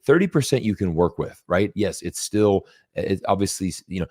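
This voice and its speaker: Very low-voiced guy from singapore hosting a livestream, chatty and animated, lots of "you know", dry close sound. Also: moderate pace, slightly rough, no echo. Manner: animated, mimics quoted exchanges, many fillers. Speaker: channel host. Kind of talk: casual livestream discussion. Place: lives in Las Vegas.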